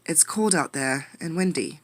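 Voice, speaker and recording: A woman's voice: deep voice, lively intonation, unhurried, dry recording. Storybook mother: ailing, weary, affectionate, weak voice, woman performer. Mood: tender concern. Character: sick, weary, tender mother of a young girl.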